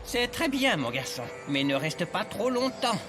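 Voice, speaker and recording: A man's voice: high-pitched, sing-song, a little slow. A man voicing an eccentric mentor in a French cartoon dub, lecturing young knights in a teasing, dramatic way. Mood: cautioning. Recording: loud music under voice.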